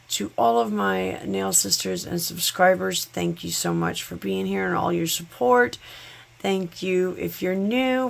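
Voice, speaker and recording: Gravelly, slow-talking woman vlogger with a cold. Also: mid-range voice. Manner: effusive, thankful, chatty. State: congested from a cold. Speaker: nail channel host. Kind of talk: sponsored unboxing vlog. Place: home nail studio, table top.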